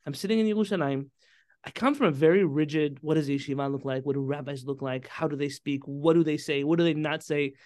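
A man in his thirties from San Diego, a rabbi, telling his story in an animated way with Hebrew terms and frequent restarts. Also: on the high side, quick pace, dry recording, clean studio sound. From San Diego, California. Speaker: podcast guest, rabbi. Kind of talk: conversational interview storytelling.

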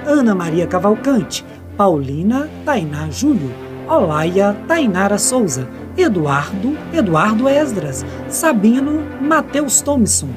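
A woman announcer reads scripted radio credits. Her mid-pitched voice moves in a lively way, with music clearly playing behind it.

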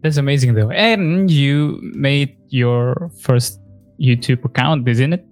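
A woman hosting a podcast, chatting casually and chiming in with quick affirmations. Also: a bass voice, darkish tone, faint music behind. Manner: eager, quick interjections and affirmations. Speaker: podcast host. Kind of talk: casual interview chat.

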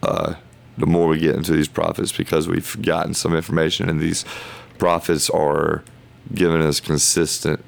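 Deep gravelly man, hoarse and halting. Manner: halting, thinking aloud in loose phrases. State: hoarse, losing his voice. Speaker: podcast host. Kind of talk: casual Bible study talk.

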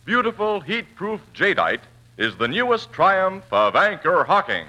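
A man's voice: high voice, very animated, measured pace, highs cut off, music in the background. A man reading a 1940s radio commercial as announcer, in a polished, emphatic sales style.

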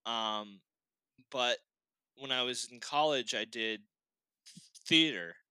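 A young man from Boston, a podcast guest in casual chat, animated and quick to laugh. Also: mid-range voice, clear, resonant tone, no room sound. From Boston, Massachusetts. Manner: animated storyteller, laughs often.

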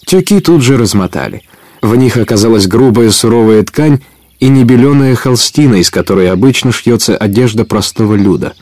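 A man's voice: medium pitch, lively intonation, a little fast, darkish tone, no echo, clean studio sound. A man narrating an adventure audio drama in a scripted storytelling style.

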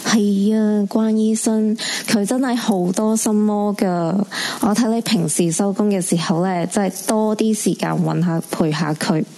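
A woman's voice: medium pitch, steady tone, measured pace, hardly any pauses, warm tone, close to an everyday voice. An actress playing a brash, bossy female clinic assistant, blunt and chatty, in a Cantonese radio drama. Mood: bossy, teasing. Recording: hissy, faintly noisy recording.

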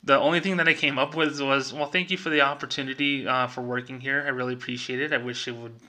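A man's voice: medium pitch, fairly even intonation, fast, sharp tone. A middle-aged man speaking as a vlogger, telling a personal story in a casual, conversational way and acting out remembered dialogue.

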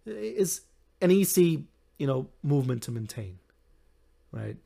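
A young man with a hoarse, recovering voice, hosting a solo podcast in a rambling, halting way with frequent chuckles. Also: fairly high pitch, very animated, mellow. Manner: chuckles often. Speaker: podcast host. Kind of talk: casual solo podcast talk.

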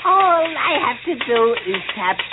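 Power-drunk lunatic, slow singsong taunts, very high voice, old radio horror, muffled noisy recording. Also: male voice, sing-song, full character voice. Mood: gleeful, taunting madness. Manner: cooing, singsong, giggling madly. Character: crazed man gleefully taunting, drunk on power.

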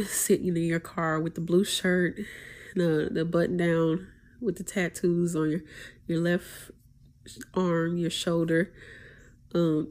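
A woman hosting her own podcast, talking in a hesitant, preachy way about faith, with many pauses and restarts and a deep voice. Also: darkish tone. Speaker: podcast host. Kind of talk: casual spiritual monologue.

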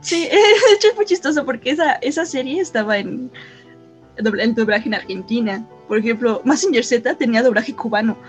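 A woman's voice: a little high, lively intonation, brisk, faint music behind. A woman chatting casually as a podcast co-host, laughing often and stopping mid-sentence to search for names. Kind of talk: casual chat.

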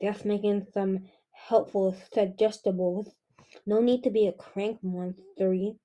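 A woman's voice: mid-range voice, steady tone, unhurried, dark tone, natural voice. A woman voicing a group of chattering baby dragons who talk in small, piping, childish voices and trip over their words. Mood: cheeky. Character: chattering little baby dragons, cheeky and curious.

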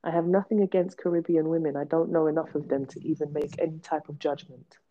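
A low-voiced woman speaks with blunt, emphatic force, repeating words to drive her point home. Her tone stays candid and personal, and the recording sounds dull and lo-fi. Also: steady tone, fast, slight background noise. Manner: emphatic, blunt, repetitive for stress. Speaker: podcast host. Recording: dull lo-fi podcast audio.

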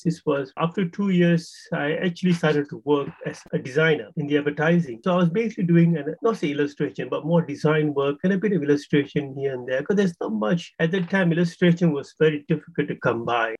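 A middle-aged man from Singapore, a guest telling his career story in relaxed, conversational interview talk. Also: a little high, lo-fi sound. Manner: conversational, with small restarts and soft laughs. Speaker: guest, animation creative director.

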